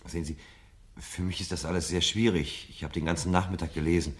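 Deep-voiced, troubled, searching man, acted. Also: fairly even intonation, fast talker, natural voice. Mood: pensive. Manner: hesitant, earnest. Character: troubled, searching married man, former book-burner. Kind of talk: 1970 German radio drama acting.

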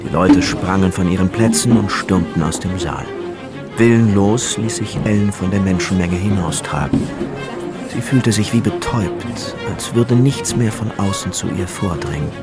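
A man's voice: deep voice, moderate pace, loud music behind the voice. A man giving dramatic scripted narration for a radio play.

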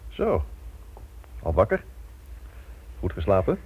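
A man's voice: sits low, huge pitch swings, takes it slowly, full character voice. An actor in a Dutch radio drama playing an anxious, stubborn, defiant middle-aged father. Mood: uneasy. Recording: muffled old radio recording, faint noise.

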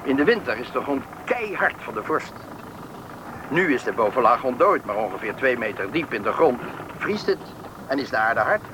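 An actor playing a helpful, talkative settlement official who politely explains things to newcomers in a Dutch radio play. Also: male voice, medium pitch, sing-song, natural voice. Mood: informative, proud. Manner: explanatory, polite, brisk guide. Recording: old radio play, faint background noise.

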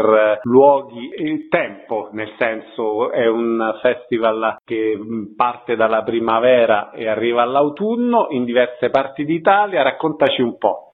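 Male radio interviewer, thin phone-like sound. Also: medium pitch. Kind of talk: radio interview, announcing event details.

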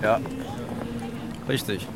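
A man's voice: very slow, heavy background noise. A man answering spontaneously and somewhat hesitantly in a street interview. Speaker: human chain participant interviewed. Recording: street crowd behind voice.

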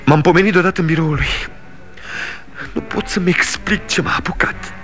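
A man's voice: sits high, a bit bright, faint music behind. An actor playing an ashamed young grown son in a 1960s radio drama, urgent and pleading with his father.

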